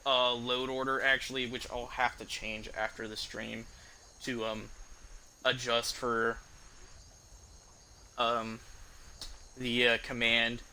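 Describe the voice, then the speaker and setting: A man's voice: medium pitch, level tone, takes it slowly, long pauses, bright, forceful tone, slight background noise, rough recording. A man giving casual, sweary live commentary as he streams a video game.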